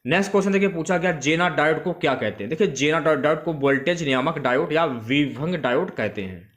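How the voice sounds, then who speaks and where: A man's voice: fairly high pitch, lively intonation, fast, fairly bright tone. A man teaching as an exam coach, going through questions and repeating key facts for students. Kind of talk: instructional exam question review.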